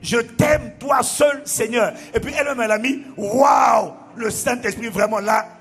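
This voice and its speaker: A middle-aged man preaches from a church stage into a handheld mic, his voice sitting high for a man. He tells stories animatedly, breaking into loud exclamations. Music plays clearly behind him. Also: a little slow. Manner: animated, exclaiming, bursts into shouting and laughter. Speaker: pastor. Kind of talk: sermon reading out testimonies. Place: large church auditorium with a crowd.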